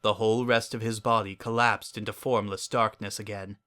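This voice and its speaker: A man reads a dramatic story as narrator. His voice is on the low side yet bright and forward, and he keeps a measured, solemn delivery. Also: flat delivery.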